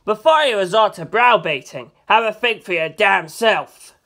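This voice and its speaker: Scolding supernatural cop spirit, done by a story narrator, brash and loud. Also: medium pitch, animated, normal speed, creaky.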